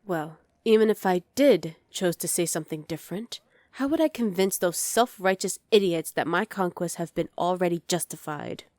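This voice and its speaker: Woman playing a haughty low-voiced evil sorceress queen. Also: normal speed, darkish tone, no room sound, close to an everyday voice. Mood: scornful, exasperated. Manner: imperious, condescending, theatrical. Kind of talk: ASMR roleplay.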